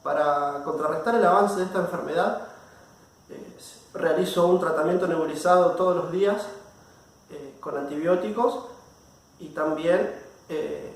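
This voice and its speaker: A man's voice: fairly high pitch, fairly quick. A man giving an explanatory personal testimonial. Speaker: patient sharing personal experience. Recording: very echoey room, distant microphone.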